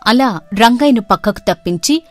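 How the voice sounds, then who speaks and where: A woman storyteller narrating a comic bedtime story in a steady, plain way. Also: on the high side, moderate pace, soft background music.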